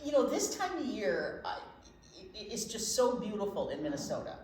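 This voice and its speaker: A woman's voice: medium pitch, sing-song, normal speed, darkish tone. An American woman, a storytime teacher, talking and reading to young children with simple questions and chatty asides. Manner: asks the listeners simple questions. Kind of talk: picture-book read-aloud with chatty asides to young children. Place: indoors in a library. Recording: echoey room with faint background noise.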